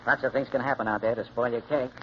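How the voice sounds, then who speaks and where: Casting: male actor for shady gambler, medium pitch, slangy and insinuating, radio drama, dulled recording with light hiss. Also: fairly even intonation, fast, close to an everyday voice. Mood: coaxing menace. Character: shady gambler man, coolly pressuring a green kid. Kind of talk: 1940s radio acting. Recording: muffled, hissy old radio recording.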